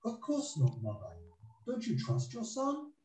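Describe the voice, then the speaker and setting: A man's voice: high voice, wildly swooping pitch, a little slow, mellow, voice pushed up, exaggerated voice. A man voicing a poor young boy in a children's fairy tale, polite and pleading with a cheeky streak. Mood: eager. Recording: dull roomy recording, faint music.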